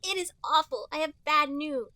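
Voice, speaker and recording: Woman putting on a squeaky girlish cartoon hedgehog sweetheart. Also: moderate pace, sharp tone, no echo. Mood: pouty. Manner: exaggerated girlish delivery. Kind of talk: comedic fan fiction reading.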